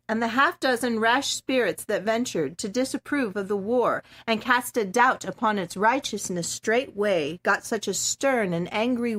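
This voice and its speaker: A woman giving a dramatic reading of a classic story in a measured, expressive storytelling manner. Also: on the high side. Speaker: guest reader.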